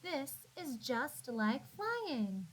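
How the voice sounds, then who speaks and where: A woman's voice: high-pitched, drawn-out words, full character voice. A woman voicing a small, eager young male penguin who dreams of flying, exclaiming expressively in a children's storybook. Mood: amazed. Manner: exclaims and squeals excitedly. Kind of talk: children's storybook read-aloud.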